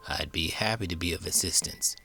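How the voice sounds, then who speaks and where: A glum little lump of coal from a children's storybook, acted out by a man. The voice is low and earnest, with wistful politeness. Music plays behind it. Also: fairly quick, gravelly, sharp tone, exaggerated voice. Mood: meek, polite. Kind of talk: children's storybook read-aloud.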